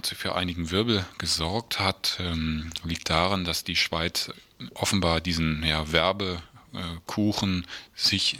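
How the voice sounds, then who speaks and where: A man hosting a radio news magazine, presenting from notes and sometimes halting as he goes. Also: low-pitched, pushed and bright, no room sound. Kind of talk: radio presenting, semi-scripted.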